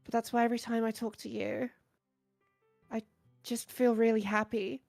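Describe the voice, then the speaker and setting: A woman voicing a shy, bookish, insecure teenage girl in a visual novel, hesitant, soft-spoken and earnest. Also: on the high side, level tone, moderate pace, vocal fry, soft background music. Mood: earnest, vulnerable. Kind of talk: visual novel character voice acting.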